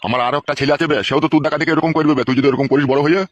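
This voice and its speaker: A man playing an angry, foul-mouthed father in a comic cartoon, with a rural West Bengal accent and a gruff, scolding manner. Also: fairly high pitch, fairly even intonation, very fast, no room sound, highs cut off, natural voice.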